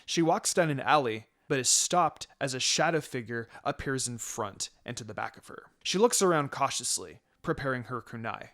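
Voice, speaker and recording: A man's voice: mid-range voice, expressive, normal speed, few pauses, a bit bright. A man narrating a fan fiction story, reading scripted prose aloud. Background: music.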